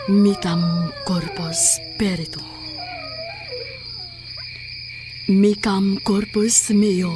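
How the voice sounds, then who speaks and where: Woman acting a horror drama witch, really slow chanting, incantation style, loud music behind. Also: medium pitch, lightly acted. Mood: solemn chanting. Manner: slow, incantatory, rising to cries. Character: female sorceress chanting an incantation to revive a dead man.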